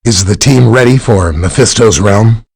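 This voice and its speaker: A man playing a friendly, helpful scientist superhero in a video game, with a chatty, polite, explanatory way of talking and a slightly husky voice. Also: fairly low pitch, normal speed, a little mellow. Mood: friendly, welcoming. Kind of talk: scripted video game character dialogue.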